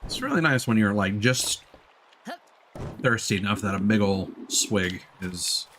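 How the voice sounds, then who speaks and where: A man giving casual live gameplay commentary, thinking out loud as he plays. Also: on the low side, expressive, a little slow, slight background noise. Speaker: game streamer. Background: game music.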